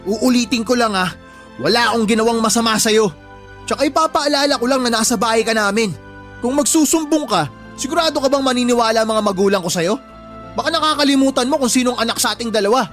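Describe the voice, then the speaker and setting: Drunk young bully, high voice, sneering, menacing, defiant, radio drama, background music. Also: male voice, fast talker, fairly bright tone. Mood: defensive, menacing. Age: young adult. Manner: sneering, insulting, blame-shifting. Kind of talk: Tagalog radio drama acting.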